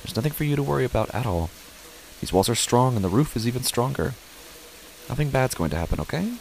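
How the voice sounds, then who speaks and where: A man playing a father who narrates an uneasy horror story in measured, deliberate short phrases. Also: mid-range voice, animated, creaky, a little mellow, low-quality recording. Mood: reassuring. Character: father narrating his family's uneasy stormy night. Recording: faint hiss behind the voice.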